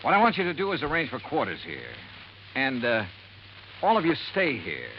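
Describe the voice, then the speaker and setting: An actor playing a brisk, hard-boiled male investigator in a 1950s radio noir drama, terse and probing. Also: mid-range voice, wildly swooping pitch. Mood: brisk, commanding. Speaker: lead detective and narrator. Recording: noisy, dull vintage radio recording.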